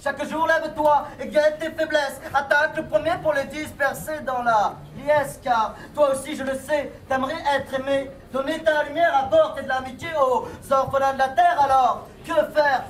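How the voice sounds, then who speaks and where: A man's voice: very high-pitched, fairly even intonation, normal speed, hardly any pauses, a bit bright. A man reciting slam poetry at a live session. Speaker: slam poet. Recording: echoey room, distant mic, slight noise.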